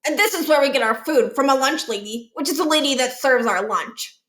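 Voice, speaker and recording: Lady reading chirpy goody two shoes school boy, highish voice, polite, over explains, roomy storybook sound. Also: lively intonation, a little fast, fairly bright tone. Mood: eager, polite. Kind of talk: children's book read-aloud.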